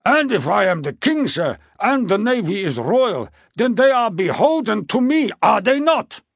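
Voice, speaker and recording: Guy doing a pompy old king, shouty, excitable, kinda high, dry sound. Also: moderate pace, slightly rough, dry recording, heavily acted. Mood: blustering. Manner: booming, emphatic, tags "what, what?". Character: blustering older male king, impatient and excitable.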